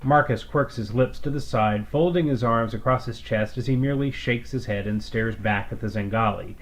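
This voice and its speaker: A man's voice: mid-range voice, normal speed. Man narrating a scripted story.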